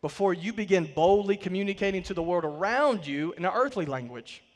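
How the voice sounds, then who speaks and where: Man, 30s, pastor, slightly high voice, emphatic sermon delivery, big hall reverb. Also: animated, a little fast. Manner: emphatic preaching, voice swelling and dropping to quiet line endings. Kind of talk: sermon with scripture reading. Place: large church stage, indoors.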